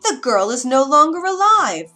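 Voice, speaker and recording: Lady doing an evil fairytale queen, bossy, loud, raised pitch, quick to rage. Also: very animated, soft background music, heavily acted. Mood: furious. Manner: imperious, loud. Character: wicked, furious, commanding storybook queen.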